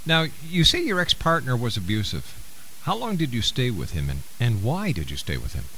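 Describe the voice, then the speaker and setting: A man's voice: medium pitch, wildly swooping pitch, moderate pace, bright, forceful tone, slight background noise, poor audio. A man hosting a radio interview, putting brief, direct questions and giving short acknowledgements. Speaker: radio show host, interviewer.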